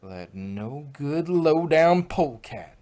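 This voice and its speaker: A man's voice: fairly high pitch, expressive, takes it slowly, mellow. A man voicing a plain-spoken country farm mare, feisty but sensible, in a fan fiction read-aloud. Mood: angry. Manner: folksy.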